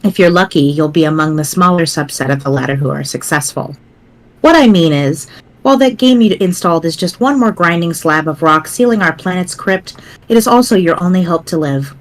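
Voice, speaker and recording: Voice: mid-range voice, a little fast, lightly acted. A performer reading as a wry, grave teenage girl writing a doomsday walkthrough in formal, verbose diction for a webcomic dramatic reading. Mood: grave, doomful.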